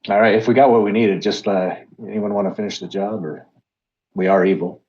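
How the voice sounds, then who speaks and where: A man's voice: on the low side, steady tone, a little fast, a little mellow. A man playing a tabletop game, talking hesitantly and restarting his sentences. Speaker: player. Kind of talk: casual tabletop game chat. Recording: dull lo-fi sound.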